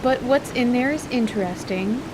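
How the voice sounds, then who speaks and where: A woman with a fairly high voice narrates scripted fantasy radio theatre. Her delivery is composed and literary, with steady rain ambience clearly behind her. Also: normal speed, background noise.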